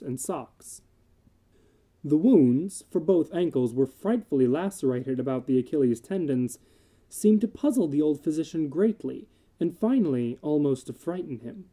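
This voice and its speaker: A narrator giving a measured, solemn dramatic reading of literary horror fiction. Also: mid-range voice, mellow.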